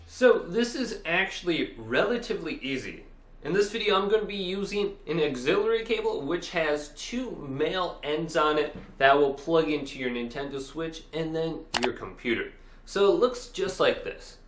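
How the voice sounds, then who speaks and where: A man's voice: fairly high pitch, animated, moderate pace, soft background music. A man presenting a casual step-by-step tech tutorial in an upbeat, emphatic presenter's delivery. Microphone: Blue Yeti desktop microphone.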